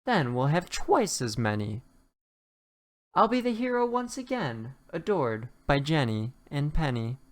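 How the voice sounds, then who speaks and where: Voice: medium pitch, sing-song, measured pace. A storybook narrator voicing a proud, bold rooster with a boastful, declamatory manner. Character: proud, bold rooster guarding the farm's eggs.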